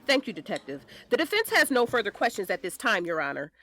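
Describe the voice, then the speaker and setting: Bright, animated female defense attorney. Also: mid-range voice, quick pace. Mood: controlled, probing. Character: sharp, determined female defense attorney, sarcastic yet caring. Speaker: actor in audio drama. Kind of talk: audio drama acting.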